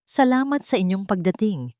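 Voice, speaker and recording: A woman narrator performs a scheming wealthy mother in an audio drama. She is theatrical and sugary with feigned sorrow, her pitch swinging in a dry, close recording. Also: big pitch swings, moderate pace, a little mellow, no echo, lightly acted. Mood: fake sweetness. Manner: falsely sweet, theatrical.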